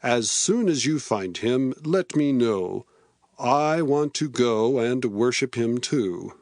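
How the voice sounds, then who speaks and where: An actor playing a grave, scheming king in an audio Bible drama, speaking deliberately. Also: medium pitch, lively intonation, darkish tone, dry recording. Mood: sly, commanding. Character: King Herod, grave scheming male ruler.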